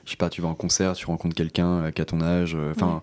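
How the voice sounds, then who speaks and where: A young man hosting a casual chat around a table, explaining his points quickly with frequent fillers. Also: low-pitched, completely flat delivery, a little mellow.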